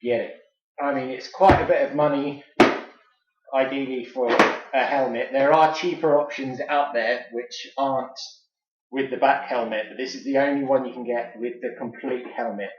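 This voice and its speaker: A British man with a mid-pitched voice hosts a casual product review. He talks in a chatty, informal, enthusiastic fan's way, without a script. The room is clearly echoey and the sound a little dull. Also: steady tone, moderate pace. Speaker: toy reviewer and video host.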